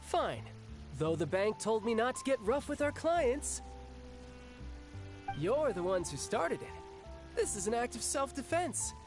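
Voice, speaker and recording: A man's voice: extremely high pitch, big pitch swings, a little slow, long pauses, exaggerated voice. A cocky young game agent talks in a smug, teasing, easygoing way. His voice swings freely up and down. Faint game music plays behind. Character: cocky young male bank agent, playful fighter. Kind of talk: video game voice acting.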